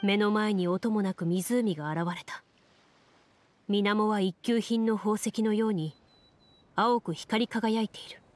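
An actress playing a serious, composed game master, narrating a fantasy quest in measured storytelling for a video game. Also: female voice, mid-range voice, fairly even intonation, darkish tone, lightly acted. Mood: composed narration. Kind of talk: Japanese game voice acting, scripted narration.

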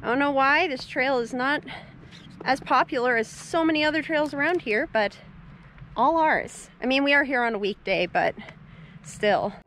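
A woman's voice: sits high, lively intonation, moderate pace, a bit bright, a little hiss or hum. A young woman vlogging on a hike, chatting with enthusiasm and thinking aloud beside a snowy mountain lake, filmed on a handheld camera. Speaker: hiking vlogger. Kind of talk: casual on-trail narration. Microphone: handheld camera at arm's length.

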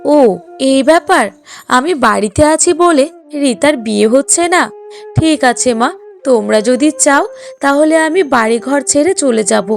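A woman's voice: high voice, vocal fry. A woman playing a sorrowful, stubborn young widowed daughter in a cartoon drama, pleading plaintively.

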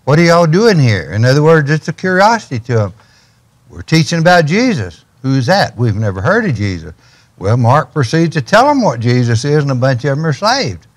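A man's voice: a little high, very animated, normal speed. An older man, a ministry guest on a TV studio set, telling stories in a folksy way and building to urgent questions. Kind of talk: storytelling in a studio interview.

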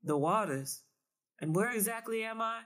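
A woman's voice: fairly high pitch, expressive, normal speed, a bit bright, voice pushed up. A woman voicing a wary, bewildered young Southern man in a story, hesitant and polite.

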